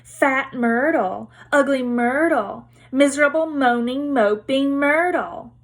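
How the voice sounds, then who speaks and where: A woman's voice: sits high, takes it slowly, voice pushed up. A woman voicing a glum, self-pitying ghost of a girl in a storybook, sulky, wounded and accusing. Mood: tearful, wounded.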